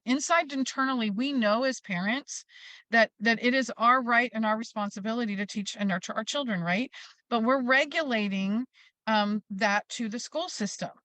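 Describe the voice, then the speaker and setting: A woman's voice: mid-range voice, dry recording. A middle-aged American woman speaking as an interview guest, making an emphatic advocate's case and acting out quotes as she tells stories. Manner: emphatic, animated storytelling with acted-out quotes. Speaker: interview guest, parents' advocacy group leader. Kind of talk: passionate advocacy talk in an interview.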